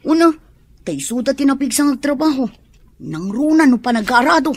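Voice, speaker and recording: A woman's voice: high-pitched, animated, normal speed, no echo. An actress playing a carefree young female student in a radio drama, chatty and teasing.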